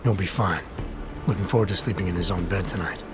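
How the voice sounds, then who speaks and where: An actor playing a calm, terse augmented agent with a dry manner in a video game cutscene. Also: male voice, low voice, fast, over music, close to an everyday voice. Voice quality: terse, gravelly calm delivery.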